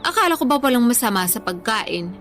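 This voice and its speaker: A woman's voice: a little high, very animated, fairly quick, fairly bright tone. An actress playing a cheeky, stubborn young woman who loves food, whining defensively in a Filipino radio drama over music.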